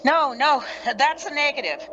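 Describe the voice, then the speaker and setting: A woman's voice: sits high, expressive, moderate pace. A woman playing a female rescue officer reporting over two-way radio in a radio play, procedural and a little hesitant. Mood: businesslike, uneasy. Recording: muffled radio sound, loud background noise.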